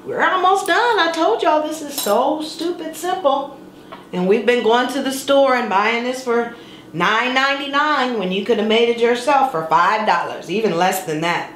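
A middle-aged woman hosts a home cooking demonstration in an easygoing, chatty way, now and then playfully singing a word. She is recorded by a camera mic set back in her kitchen, where the sound rings off hard surfaces. Cutlery and pans clink clearly behind her. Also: fairly high pitch, lively intonation, normal speed, fairly bright tone, a little hiss or hum. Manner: chatty and playful, sings words for fun. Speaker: cooking show host. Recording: echoey kitchen with clattering dishes.

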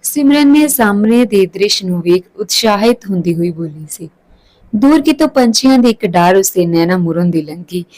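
A woman reading a scripted story as a storyteller, with expressive, literary delivery. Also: medium pitch, normal speed, low-quality recording.

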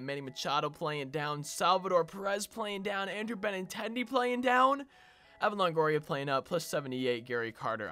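A young man with a sore throat, casually commentating his own baseball video game from a home gaming room on a desk mic, getting excitable and sing-song over big plays. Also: on the high side. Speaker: gaming channel host. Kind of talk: live gameplay commentary.

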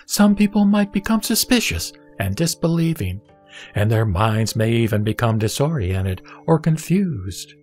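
Guy playing a solemn serene holy teacher reciting scripture in measured phrases, music behind. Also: medium pitch, a little mellow. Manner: measured, deliberate, preaching in short phrases. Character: the Buddha, serene solemn male teacher instructing a disciple. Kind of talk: scripted sutra recitation, audio drama.

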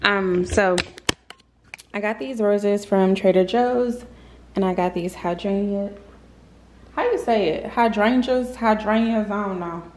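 A woman's voice: medium pitch, a little slow, a little mellow, slight background noise. A young woman in her twenties chatting to a handheld camera in her apartment, rambling with lots of ums and repeats. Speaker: lifestyle vlogger. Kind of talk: casual chat to camera. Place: apartment living room and kitchen, indoors. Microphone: handheld camera on a grip, close.

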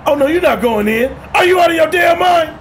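Screeching, irate police lieutenant. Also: male voice, very high-pitched, expressive, fairly quick, slight background noise. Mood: exasperated. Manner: shouting, exasperated, blustering. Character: irate, foul-mouthed police lieutenant, always yelling. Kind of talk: action parody radio play acting.

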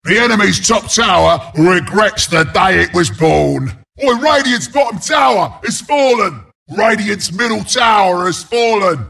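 An actor voicing a mean, cocky porcupine beast as a video game announcer, with a British accent, a gravelly rasp and a rowdy, taunting, blunt delivery. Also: male voice, sits high, expressive, a little slow, bright, forceful tone, raised voice, heavily acted. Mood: urgent, rowdy. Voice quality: gravelly, raspy. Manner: taunting, rowdy, blunt, mock-sympathetic asides.

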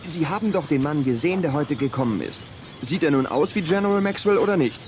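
A man plays an excitable young deputy in a western radio play. His voice sits fairly high and he talks hastily over noticeable background noise. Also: quick pace, natural voice. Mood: eager. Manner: hasty, excitable. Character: eager, impatient young male sheriff's deputy. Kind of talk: German children's audio drama acting.

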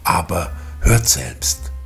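A man's voice: low-pitched, sing-song, drawn-out words, gravelly, breathy edge, faint music behind. A man narrating from a script as presenter of an audio drama.

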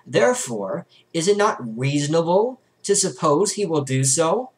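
A young man reads a religious book aloud in a measured, formal, rhetorical way, at a desk in a small bedroom. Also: on the high side, very animated. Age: young adult. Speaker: amateur audiobook reader.

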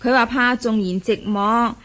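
An actress playing a gentle, reassuring and teasing confidante in a radio drama. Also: female voice, fairly high pitch, steady tone, measured pace. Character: reassuring, teasing female confidante of a young girl. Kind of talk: Cantonese radio drama acting.

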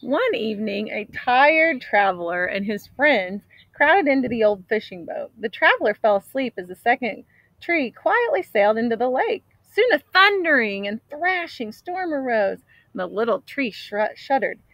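Higher-voiced woman reading a storybook aloud. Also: normal speed, no echo, dull audio. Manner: expressive, dramatic storybook delivery. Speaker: storybook reader. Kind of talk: read-aloud storytelling from a picture book. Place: outdoors in an open grassy area.

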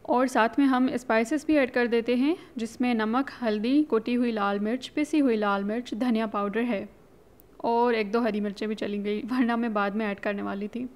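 A woman's voice: on the high side, fairly even intonation, normal speed. Chatty woman talking through a recipe. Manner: chatty, instructive, mixes in English words. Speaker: cooking channel host. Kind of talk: casual recipe voice-over.

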